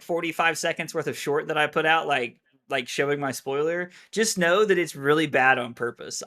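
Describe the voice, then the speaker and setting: A man with a bright, forceful voice chatting on a podcast. He rambles and fills his sentences with "like" at a mid pitch. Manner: rambling, heavy use of "like". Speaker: podcast co-host. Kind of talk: casual chat.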